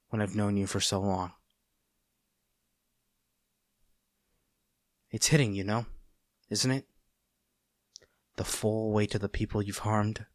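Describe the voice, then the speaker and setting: A man playing a conflicted heroic captor in a dramatic audio roleplay, earnest and halting, tender toward an old friend turned enemy. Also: on the low side, dry recording, studio quality, natural voice. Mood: stern, pained. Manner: earnest, halting, formal wording with dramatic pauses.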